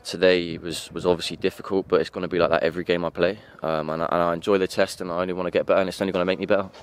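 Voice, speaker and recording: A young man, a footballer giving an earnest, halting post-match interview at a pitch outdoors at night, speaking into a handheld mic. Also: level tone, clean tone. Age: young adult.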